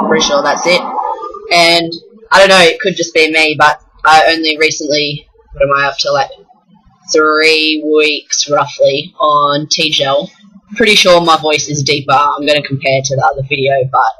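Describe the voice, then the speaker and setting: A young Australian man from the south coast of New South Wales, talking frankly and in a rambling way in a personal vlog, with many pauses. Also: fairly high pitch, sharp tone, rough recording. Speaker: vlogger. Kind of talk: casual personal vlog talk.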